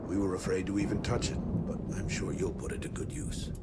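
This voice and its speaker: An actor playing a kindly, solemn older frontier priest with an American accent and a folksy, measured manner in a video game. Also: male voice, low voice, level tone, very noisy, close to an everyday voice. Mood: worried. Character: fatherly, solemn older male frontier priest, gently wry. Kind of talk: video game cutscene acting.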